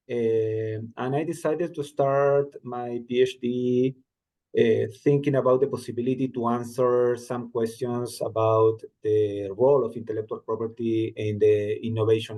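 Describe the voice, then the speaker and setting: A man from Chile with a Chilean Spanish accent, introducing himself carefully on a video call, with halting restarts. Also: medium pitch, warm tone, rough recording. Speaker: panelist, IP practitioner. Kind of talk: webinar self-introduction.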